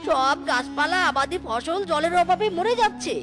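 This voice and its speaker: A worried village female from an animal cartoon , voiced by a woman. She has an extremely high voice, with music clearly audible behind it. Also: expressive, normal speed. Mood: distressed. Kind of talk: cartoon voice acting.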